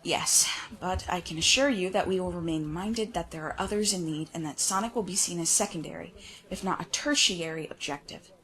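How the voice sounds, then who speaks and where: A woman's voice: on the low side, fairly quick, a bit bright, lightly acted, rough recording. A woman playing a commanding, assured female federal agent who leads her team in an audio drama. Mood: businesslike. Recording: music bed under the voice.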